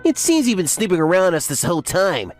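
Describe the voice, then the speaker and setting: Low-pitched, swooping scheming office villainess played by a woman. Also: big pitch swings, a little fast, darkish tone, soft background music. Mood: smug, mocking. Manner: smug, taunting. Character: scheming, gloating female office villain, mockingly sweet. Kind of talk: English manga recap dub acting.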